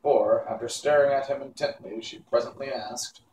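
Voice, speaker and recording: A man reading a classic children's book aloud in an unhurried, expressive storytelling manner. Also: medium pitch. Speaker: audiobook reader. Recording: echoey room, distant mic, faint hiss.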